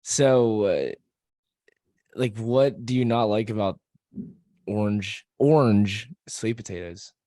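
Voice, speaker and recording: A man hosting a casual podcast, a bit hoarse from screaming, who laughs a lot, swears freely and jokes around. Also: fairly low pitch, slow pace, pauses often, mellow, no echo. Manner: laughs often, swears freely, loose joking delivery. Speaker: podcast host.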